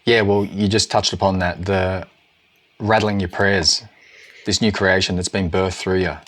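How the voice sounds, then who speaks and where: A man hosting an interview, calmly affirming and asking gentle questions. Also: deep voice, unhurried. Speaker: podcast host. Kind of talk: conversational interview.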